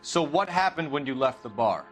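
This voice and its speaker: An actor playing a stern, clipped police detective giving orders in a video game crime drama. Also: male voice, fairly high pitch, very animated, moderate pace, soft background music. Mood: probing, accusing.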